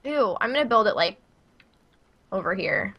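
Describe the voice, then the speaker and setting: A woman's voice: mid-range voice, sing-song, moderate pace, gravelly, dull audio. A woman with a Midwestern American accent, chatting casually as she streams a co-op video game. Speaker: gaming streamer. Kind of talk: casual co-op gameplay chat.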